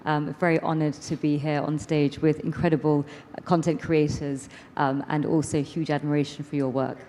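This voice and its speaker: Woman w low voice, formal polite panel talk, big echoey hall. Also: little pitch movement, moderate pace, mellow, slight background noise. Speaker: panelist, public relations professional. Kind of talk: polite, formal self-introduction. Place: large hall, on stage at a forum. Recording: reverberant hall, stage sound.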